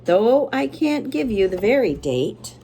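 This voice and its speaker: A woman's voice: fairly high pitch, sing-song, unhurried, background noise. Woman teacher reading aloud to children. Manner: simple encouraging explanations to young listeners. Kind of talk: children's read-aloud with friendly asides.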